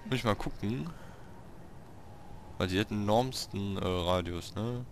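A man with a fairly low but bright voice comments casually on a video game. He speaks very slowly, in short bits as if to himself. Soft music plays behind him. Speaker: let's player hosting a city-building game series.

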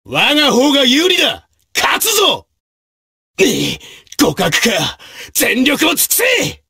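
An actor voicing a brave, martial samurai officer in a video game, with gruff, raspy, declamatory delivery in archaic period speech. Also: male voice, very high voice, huge pitch swings, slow pace, full character voice. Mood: grave. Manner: forceful, declamatory.

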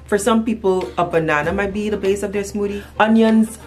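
A woman's voice: medium pitch, brisk, darkish tone, faint music behind. A woman in her thirties with a Trinidadian accent, chatting casually to camera as a playful, emphatic storyteller. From Trinidad and Tobago. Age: 30s. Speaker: vlogger. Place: small furnished room at home, indoors.

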